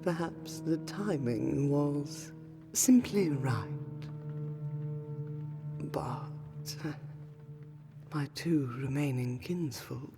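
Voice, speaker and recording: Over clear background music, a woman performs a centuries-old noble lady vampire speaks in a fantasy roleplay. She is exhausted and sorrowful, with very slow, halting, archaic phrasing. Her delivery is intimate, with sighs and breathy whispered turns. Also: deep voice, exaggerated voice. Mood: grieving, solemn. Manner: formal archaic diction, halting, sighing, slipping into breathy whispers. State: exhausted and pained. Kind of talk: fantasy roleplay audio drama, intimate monologue. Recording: music behind the voice.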